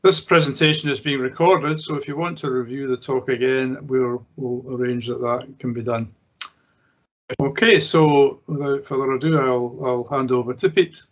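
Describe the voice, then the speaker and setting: A man's voice: mid-range voice, steady tone, normal speed. Hesitant man hosting a webinar. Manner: hesitant, repeated words. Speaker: webinar host, committee member. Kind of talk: introducing a speaker. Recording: dull lo-fi webinar audio.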